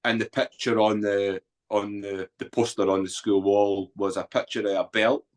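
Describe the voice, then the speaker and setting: A low-voiced man with an Edinburgh Scottish accent, in his forties. He tells his story candidly as a podcast guest, blunt and earthy, often pausing on an um. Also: level tone. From Scotland. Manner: blunt, earthy, unguarded talk with frequent ums. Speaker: guest, author and former soldier.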